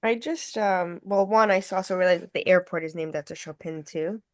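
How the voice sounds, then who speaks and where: A woman with a slightly low voice talks in a casual group discussion. She thinks aloud, offering her points tentatively and rephrasing them as she goes. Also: brisk. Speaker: participant in actors' discussion.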